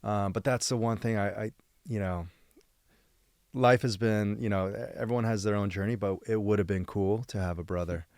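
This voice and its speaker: A man from San Diego hosts a podcast, his voice sitting on the low side. He rambles reflectively and thinks aloud as he goes. The recording is dry, with no room sound. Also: fairly even intonation, moderate pace, a little mellow, dry recording. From San Diego, United States. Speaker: podcast host. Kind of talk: casual interview conversation.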